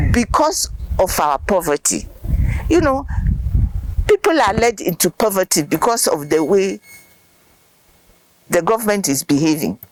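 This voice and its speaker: Lively older woman, earnest. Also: medium pitch, rough recording. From Ghana. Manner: earnest, emphatic, repeats words for stress. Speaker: studio guest. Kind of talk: impassioned interview answers.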